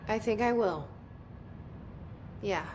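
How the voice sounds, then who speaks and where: A woman's voice: mid-range voice, slow, a little mellow, slight background noise, close to an everyday voice. A woman playing a guarded, inquisitive newcomer heroine in a video game, speaking in a terse, wry way. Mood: wry, questioning. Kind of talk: video game dialogue acting.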